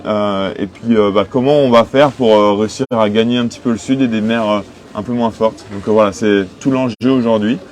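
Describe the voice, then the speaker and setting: A man's voice: lowish voice, steady tone, brisk, darkish tone. A man of twenty-five from western France, answering interview questions conversationally as a skipper. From Cholet, western France. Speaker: sailing skipper being interviewed. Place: aboard a sailing boat in port. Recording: noticeable background noise on location.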